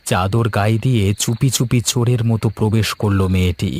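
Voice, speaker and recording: A man's voice: on the low side, steady tone, a little fast, a little mellow, a little hiss or hum. A man reading scripted narration for a cartoon story as its storyteller.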